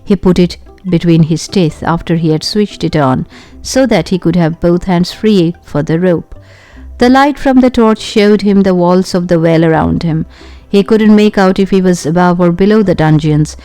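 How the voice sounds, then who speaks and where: A woman reading a children's adventure story aloud in an expressive storytelling style. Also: fairly low pitch. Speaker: audiobook narrator.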